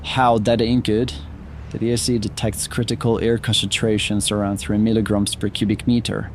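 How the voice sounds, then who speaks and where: A man's voice: fairly low pitch, level tone, moderate pace, slight background noise, natural voice. A man acting a wary, gloomy paranormal investigator in an audio drama. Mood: grim alarm.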